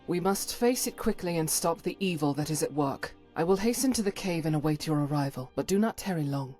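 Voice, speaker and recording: A woman's voice: low-pitched, lively intonation, fairly quick, darkish tone. A woman playing a devout, resolute holy warrior in a fantasy game, speaking in formal, earnest phrases over music. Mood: grave, resolute. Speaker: companion character in a game mod. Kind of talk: fantasy game character acting.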